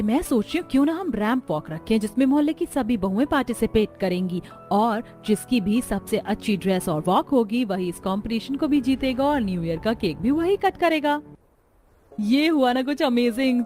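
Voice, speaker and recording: A woman voicing chatty, friendly young Indian daughters-in-law from a neighbourhood in an expressive, conversational way for a cartoon story. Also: on the high side, lightly acted. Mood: cheerful, eager.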